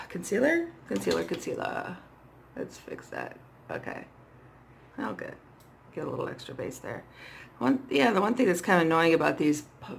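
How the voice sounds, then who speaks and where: A middle-aged woman talks through a makeup tutorial in a hoarse, fairly low voice with a gravelly fry. She is casual and playfully self-deprecating. There is noticeable background noise. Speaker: makeup vlogger. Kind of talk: casual makeup tutorial chat, talking to herself while working. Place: small indoor setup with dark backdrop.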